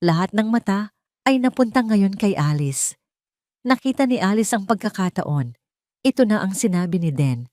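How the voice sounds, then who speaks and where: A woman's voice: medium pitch, expressive, normal speed, a little mellow, no echo, clean studio sound. A woman narrating a scripted romance and revenge audio drama in a dramatic storytelling style.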